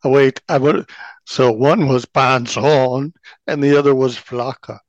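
A man's voice: medium pitch, steady tone, measured pace, no echo, muffled recording. A man hosting an interview show, asking questions in a chatty, playful way. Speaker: podcast host. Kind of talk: casual interview chat.

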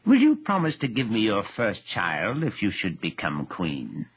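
A man's voice: lowish voice, wildly swooping pitch, unhurried, husky, highs cut off, exaggerated voice. Man as a strange, bargaining little imp. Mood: sly bargaining.

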